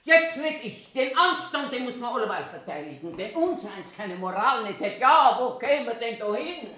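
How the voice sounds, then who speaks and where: A woman's voice: on the high side, big pitch swings, moderate pace, pushed and bright, slight background noise. An actress in her eighties playing a cranky, eccentric old toilet attendant in a theatrical monologue, querulously talking to herself in a Bavarian accent. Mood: indignant, defiant. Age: 80s. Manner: self-talking, querulous, emphatic. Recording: echoey room sound.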